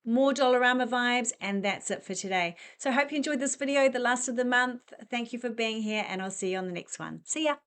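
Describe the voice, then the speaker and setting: A woman's voice: on the high side, a little fast, hardly any pauses, fairly bright tone, clean tone. A middle-aged woman chatting and rambling as she walks through a discount store, self-deprecating and casual. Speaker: shopping vlogger. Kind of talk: casual shop walk-through vlog.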